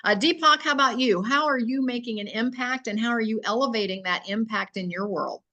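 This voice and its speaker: A woman's voice: on the high side, brisk, sharp tone, highs cut off. A woman hosting an online networking call, friendly and drawing out participants with questions. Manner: friendly, asks questions to draw people out. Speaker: meeting host. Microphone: video call.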